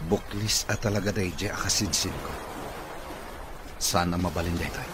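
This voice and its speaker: An actor playing a stern, suspicious boss in an Ilocano-accented radio drama. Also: male voice, deep voice, moderate pace, background noise, natural voice. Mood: stern, determined. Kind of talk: Filipino radio comedy-drama acting.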